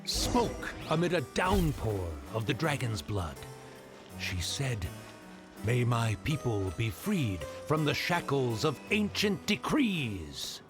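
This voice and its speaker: A man's voice: medium pitch, big pitch swings, takes it slowly. A man playing a solemn storyteller who recites a grand fantasy scripture in a video game. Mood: solemn, reverent. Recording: clear background music.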